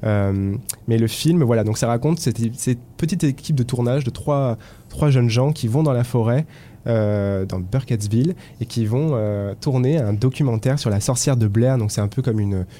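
A man hosts a podcast in a studio, his voice mellow and of ordinary pitch. He leads the chat, pausing now and then to find his words. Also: slight background noise. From France. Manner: lively, hesitant at times. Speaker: podcast host. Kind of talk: hosting a film podcast.

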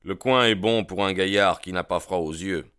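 A man reading aloud, voicing a bold young newcomer who speaks deliberately and confidently. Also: sits low, a bit bright, clean tone, dry recording, studio quality.

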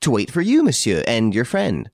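A man voicing a dutiful, eager chauffeur in an audiobook, brisk and respectful as he explains himself. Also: mid-range voice, huge pitch swings, creaky, a little mellow, dry recording, clean studio sound, pitched above the performer's own voice, full character voice.

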